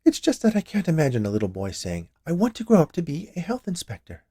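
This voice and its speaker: A man's voice: on the high side, wildly swooping pitch, fast talker, slightly rough, dry recording, clean studio sound, pitched above the performer's own voice, exaggerated voice. A man voicing a cheerful, chatty large woman who runs a candy shop in a storybook tale. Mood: friendly, probing. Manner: bright, chatty, eager.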